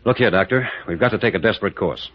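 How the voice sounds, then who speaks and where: Fast-talking tough captain, played by a man, low-pitched. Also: natural voice. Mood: resolute, plotting. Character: tough, decisive captain and adventurer, gruff and commanding. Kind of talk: 1940s radio adventure acting. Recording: dull old-time radio recording.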